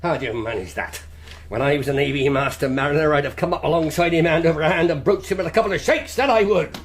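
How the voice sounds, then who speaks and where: An actor in a radio drama playing a sly, jovial one-legged pirate cook with a salty, theatrical sailor's dialect. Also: male voice, on the high side, quick pace, close to an everyday voice. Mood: blustering, hearty. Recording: noisy radio drama with ambience behind.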